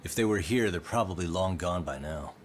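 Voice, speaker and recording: A man's voice: low voice, a little fast, a little hiss or hum, close to an everyday voice. A man acting a cool, stubborn private detective in an English-dubbed game cutscene, with a dry, sardonic, understated manner. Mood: casual. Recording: music behind the voice.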